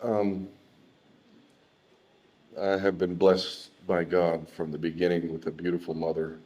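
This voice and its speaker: An American man from Southern California giving an unscripted personal testimony, speaking in a halting, reflective way with repeated words. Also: deep voice, little pitch movement, a little mellow.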